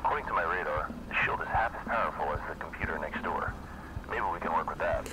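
An actor voicing a gruff, dutiful male space scavenger in a video game, blunt and bossy but earnest. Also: rumbling low, fast, rough recording. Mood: dry.

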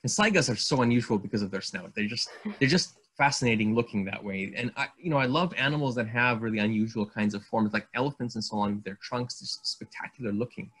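A middle-aged man from Hungary, speaking on a video call as a guest artist, enthusiastic and halting with many fillers and restarts. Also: mid-range voice, a bit bright, breathy edge. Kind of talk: casual video call interview. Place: home room.

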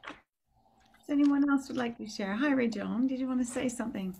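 A woman's voice: a little high, moderate pace, creaky, darkish tone, a little hiss or hum. A woman hosting a casual online group discussion on a video call, as a course teacher.

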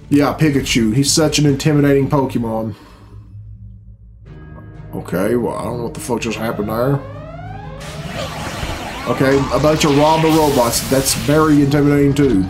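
A man's voice: medium pitch, fairly even intonation, moderate pace, long pauses. A man, giving blunt, grumbling, sweary commentary as host of a reaction video. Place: small furnished room at home, indoors. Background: loud game cutscene music.

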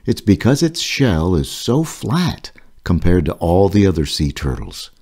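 Male author read-aloud for kids' nonfiction: low, mellow, husky, explaining carefully. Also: very animated. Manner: measured, explanatory reading. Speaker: author reading own children's book.

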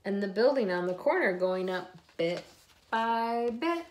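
A middle-aged woman reading a children's picture book aloud in a deliberate storytelling way, recorded on a phone at home. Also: mid-range voice, lively intonation. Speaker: read-aloud host. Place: indoors in a room at home.

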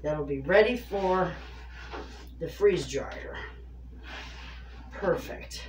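A woman's voice: sits low, lively intonation, very slow. A woman in her fifties chatting to viewers in a homey way while cooking in her home kitchen. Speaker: homestead cooking vlogger. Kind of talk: casual cooking chat to camera. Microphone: far camera on a tripod. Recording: echoey kitchen from a distance, faint noise.

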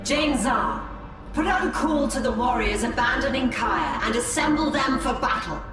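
An actress playing a solemn, commanding alien leader in a video game, imperious and measured. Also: female voice, high-pitched, lively intonation. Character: commanding female Eldar leader, solemn and prophetic. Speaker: game character. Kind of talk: dramatic video game cutscene acting. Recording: distant, heavily echoing, music underneath.

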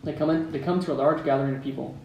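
A man's voice: mid-range voice, steady tone, very fast, creaky, dull audio. A man reading out stage directions as narrator at a script table read. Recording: echoey room, distant mic, background noise.

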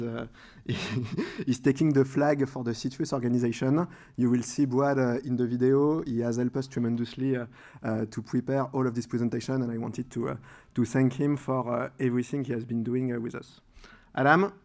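A man in his thirties speaking English with a French accent welcomes the audience at a corporate event. He reads out the agenda at a podium microphone, pausing often with "uh" fillers, in a large conference room. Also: medium pitch, darkish tone. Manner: hesitant, frequent uh fillers. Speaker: managing director introducing a corporate presentation. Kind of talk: formal event welcome speech.

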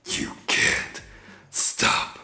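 A man voicing a menacing speedster villain in a low whisper, pitched down with reverb and doubled. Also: extremely slow, sharp tone, exaggerated voice. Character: demonic deep-voiced male speedster villain, menacing. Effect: pitched down with reverb and doubled track. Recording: echoey processed voice over music.

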